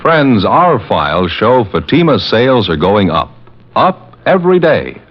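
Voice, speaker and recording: A man working as a commercial announcer, reading a scripted 1950s radio sales pitch in an emphatic, polished manner. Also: sing-song, measured pace. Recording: muffled vintage radio broadcast.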